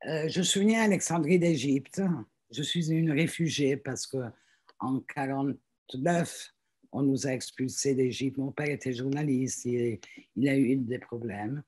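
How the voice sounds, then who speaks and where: A woman's voice: rumbling low, measured pace, darkish tone, husky. A woman in her seventies, a native French speaker, introducing herself on a video call with frequent fillers and restarts. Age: 70s. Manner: hesitant, many fillers and restarts. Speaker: teacher and guest reader. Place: small room at home, indoors. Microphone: laptop webcam on a video call.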